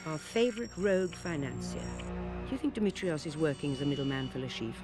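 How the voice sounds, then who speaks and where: A woman's voice: deep voice, animated, fast talker. An older woman with a British accent playing a stern, brusque intelligence chief in a video game cutscene. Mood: brisk, dry. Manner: brusque, commanding, clipped. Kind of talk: scripted game cutscene dialogue. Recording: music behind the voice.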